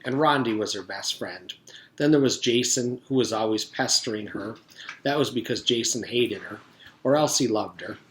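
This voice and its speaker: A man reading a children's book aloud as a teacher would for pupils, with expressive storytelling. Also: medium pitch. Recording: echoey, reverberant room.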